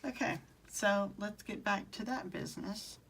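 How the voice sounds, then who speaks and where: Bright-voiced Southern woman demonstrating crafts. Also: medium pitch, measured pace, vocal fry, slight background noise. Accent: Southern American. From southern United States. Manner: chatty, talks to viewers and answers their comments. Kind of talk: casual live craft tutorial.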